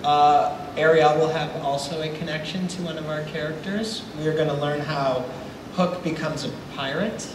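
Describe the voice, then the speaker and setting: A man's voice: on the high side, steady tone, normal speed. A man speaking as a show creator on a live panel, playfully coy and enthusiastic. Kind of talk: live panel interview, teasing upcoming plots. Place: large indoor convention hall stage. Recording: echoey hall, distant, noisy background.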